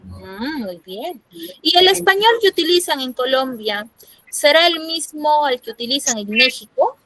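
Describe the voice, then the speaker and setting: This is a Peruvian woman teaching a class over a video call. Her voice sits fairly high and sounds close and dry, with no room around it. She explains patiently and keeps asking her students if they follow. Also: animated, a little slow, fairly bright tone, no echo, low-quality recording. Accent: Peruvian Spanish. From Peru. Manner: explanatory, checks in with frequent "¿no?". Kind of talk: online class lecture. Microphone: computer microphone on a video call.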